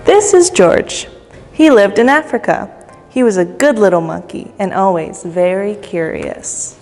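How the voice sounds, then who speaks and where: Female storytime reader, expressive and playful with young listeners, long reverb, light music underneath. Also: mid-range voice, measured pace. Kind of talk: children's storytime read-aloud. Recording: long echoey reverb, faint music behind.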